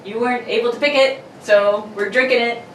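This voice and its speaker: A woman's voice: a little high, fairly even intonation, a little fast, fairly bright tone. A woman host giving a casual, bantering introduction in a jokey, giggly, informal manner. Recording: distant roomy mic with faint noise.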